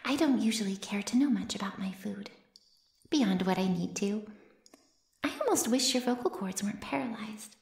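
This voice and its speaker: A woman's voice: medium pitch, animated, moderate pace, darkish tone. Coy echoing ancient vampire woman, often whispering, acted by a woman. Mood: smug, musing. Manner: coy, breathy murmurs that often drop to a whisper. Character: ancient predatory female vampire, playfully menacing, smugly teasing her captive. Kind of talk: intimate ASMR roleplay acting. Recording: roomy cave-like echo.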